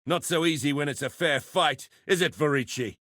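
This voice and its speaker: An actor playing a brash, gleefully violent male pirate brawler in a video game, taunting with a gravelly, forceful swagger. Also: on the high side, moderate pace, no echo, studio quality. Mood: mocking. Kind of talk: video game combat barks.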